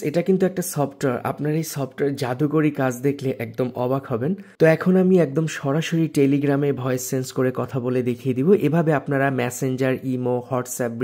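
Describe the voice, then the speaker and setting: A man with a Bangladeshi accent hosts a casual tech video. His voice is mid-pitched and soft-edged, with a relaxed, mellow tone. He explains and promotes a product directly to viewers.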